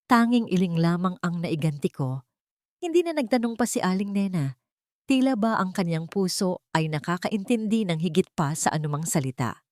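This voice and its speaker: A woman reading a scripted first-person sentimental story in a measured, dramatic storytelling manner. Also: medium pitch, a little mellow, no room sound, clean studio sound.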